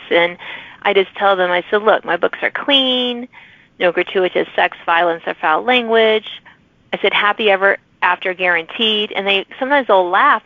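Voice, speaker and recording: A woman's mid-pitched voice comes through a narrow, radio-like channel with no room sound. She hosts a podcast interview in an effusive, conversational style. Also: dry recording. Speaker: podcast host and author. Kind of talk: casual interview chat.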